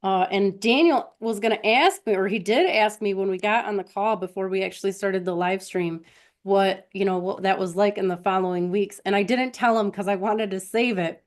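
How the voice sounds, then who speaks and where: A woman's voice: mid-range voice, moderate pace. A woman hosting a live stream, telling personal stories in a casual, conversational way and talking directly to her listeners.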